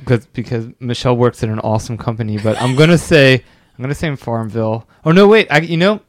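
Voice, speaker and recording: Halting man hosting a gaming podcast. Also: medium pitch, no echo. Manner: halting, stumbles over his questions. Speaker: podcast host. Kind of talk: casual podcast interview.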